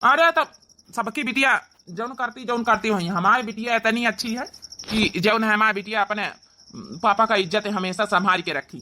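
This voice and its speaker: A woman's voice: a little high, moderate pace, bright, forceful tone, a little hiss or hum. A woman voicing a doting, stubborn village father in an Awadhi-accented cartoon comedy, easygoing but firmly protective of his daughter.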